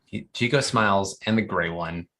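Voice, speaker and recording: A narrator reading out scripted stage directions at an animated comedy table read. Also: deep voice, normal speed, over a noisy crowd.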